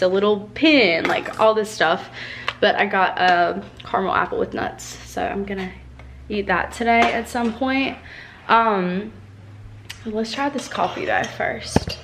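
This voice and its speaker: A woman's voice: mid-range voice, noisy background. A young woman, talking casually in a home vlog, a little stuffy from a cold, rambling and upbeat. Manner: rambling, upbeat, filler-heavy. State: congested from a cold. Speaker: vlogger. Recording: roomy home echo.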